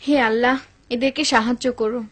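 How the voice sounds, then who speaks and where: A woman's voice: fairly high pitch, steady tone, normal speed, slightly rough. A woman playing a worried, devout mother in a dubbed cartoon, anxious and pleading.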